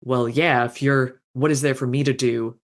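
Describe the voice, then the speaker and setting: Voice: medium pitch, fairly even intonation, normal speed. A fanfiction author in a casual podcast conversation, thoughtful and meandering, with frequent fillers and restarts.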